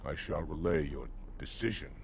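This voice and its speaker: An actor playing a proud, stern alien military commander in a video game cutscene, speaking formally and forcefully. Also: male voice, moderate pace, a little hiss or hum. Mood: restrained indignation. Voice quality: deep, gravelly. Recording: dramatic music behind.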